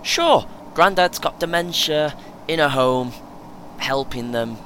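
A man playing a chatty, excitable, over-prepared first-time runner in an audio play, speaking in clipped short phrases. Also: a little high, slow pace, exaggerated voice. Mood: keen, boastful. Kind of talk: naturalistic audio play acting. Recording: clear background noise, vehicle ambience.

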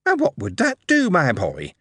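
A man's voice: fairly high pitch, huge pitch swings, normal speed, fairly bright tone, breathy edge, no room sound, clean studio sound, pitched above the performer's own voice, exaggerated voice. A man doing a fretful Yorkshire mother. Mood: bewildered. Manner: plain-spoken, fretful, then stern. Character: anxious, doting Northern mother, middle-aged.

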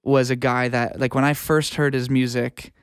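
A man's voice: mid-range voice, level tone, moderate pace, smooth, dry recording, clean studio sound. A young North American man, an interview guest, talking in a casual, reflective, informal way. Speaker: interview guest, music producer and audio engineer. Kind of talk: casual chat.

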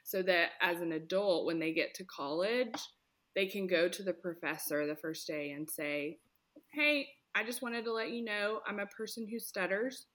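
A woman speech therapist talking in an earnest, expressive way, often acting out examples as quotes. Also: on the low side, moderate pace. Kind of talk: conversational interview.

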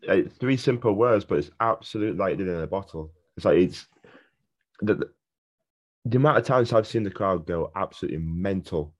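A man's voice: low voice, lively intonation, moderate pace. A man with a British accent hosting a casual podcast interview, chatty and enthusiastic. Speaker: podcast host. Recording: dull, lo-fi sound.

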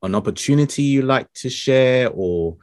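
A man's voice: medium pitch, animated, moderate pace, a little mellow, dry recording, clean studio sound. A man hosting a podcast, chatting casually in a friendly, rambling way with many fillers. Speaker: podcast host. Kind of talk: casual interview chat.

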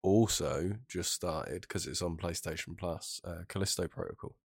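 Male co-host, British, very low pitch, mild head cold, informal gaming talk, dry recording. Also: steady tone, normal speed, vocal fry, breathy edge, dry recording. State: bunged-up nose from a cold. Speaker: podcast co-host. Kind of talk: casual chat about video games.

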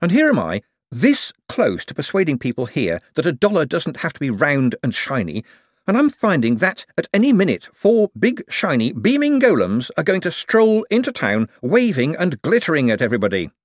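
A man voicing a wry, exasperated, fast-thinking man who argues sardonically in an audiobook story. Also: medium pitch, very animated, no echo, studio quality, voice pushed up. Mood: exasperated, outraged. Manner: sardonic, argumentative.

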